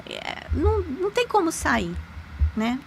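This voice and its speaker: A woman, a podcast guest, telling a personal story in an animated, emphatic way with sudden pitch jumps. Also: measured pace, gravelly. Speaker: podcast guest, lawyer and magic teacher. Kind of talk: conversational storytelling.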